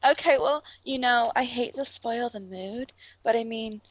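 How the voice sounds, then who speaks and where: A woman with a fairly high voice gushes in a breathy, over-the-top flirtatious way. The sound is close and dry, with no room echo around her. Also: slow. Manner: gushing, breathy and overly flirtatious. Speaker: prank caller. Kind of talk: flirty prank phone call.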